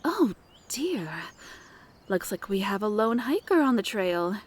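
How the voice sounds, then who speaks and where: A woman's voice: medium pitch, big pitch swings, unhurried. A woman playing a flirtatious, mature, motherly cabin owner in an ASMR roleplay, coaxing and doting with pet names. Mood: admiring, concerned.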